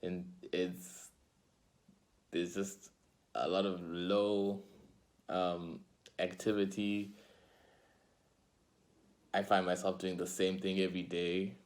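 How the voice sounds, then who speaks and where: A young man in his twenties with a very low, deep voice. He speaks in a measured, thoughtful way, as if recording his own reflections at home. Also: little pitch movement. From Zimbabwe. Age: 20s.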